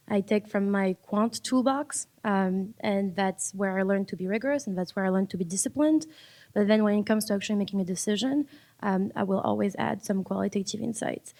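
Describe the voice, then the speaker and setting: Woman w/ on a panel, soft dark voice, repeats herself thinking it thru. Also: medium pitch. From France. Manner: reflective, repeats words while finding them. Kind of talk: panel discussion. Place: public panel event.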